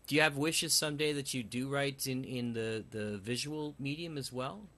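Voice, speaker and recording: A man's voice: mid-range voice, normal speed, bright, forceful tone. A man hosting a casual interview, putting questions to his guests. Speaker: host, interviewer.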